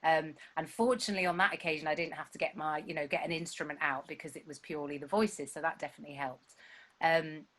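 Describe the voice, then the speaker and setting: A woman's voice: sits low, steady tone, quick pace, a bit bright. A woman songwriter chatting casually as a guest, rambling and self-deprecating with lots of fillers. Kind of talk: casual chat.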